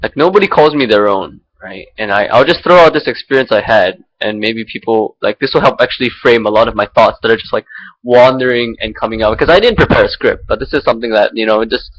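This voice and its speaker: A man's voice: mid-range voice, fast, a bit bright, highs cut off. A young man from Singapore talking through his thoughts without a script, rambling and hesitant, with many fillers and restarts, recorded on a laptop mic. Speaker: vlogger. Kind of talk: unscripted personal reflection. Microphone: laptop built-in mic.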